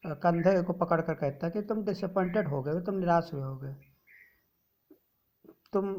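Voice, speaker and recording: A woman teacher explaining a textbook story to students, reading English lines slowly and then explaining them in Hindi. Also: fairly low pitch, warm tone, highs cut off.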